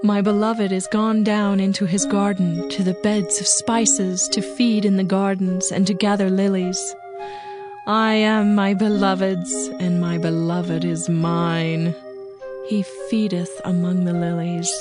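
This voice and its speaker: A woman's voice: medium pitch, level tone, measured pace, close to an everyday voice. A woman playing a lovesick young bride in a dramatized scripture reading, speaking in a yearning, poetic way with music under her. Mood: tender adoration.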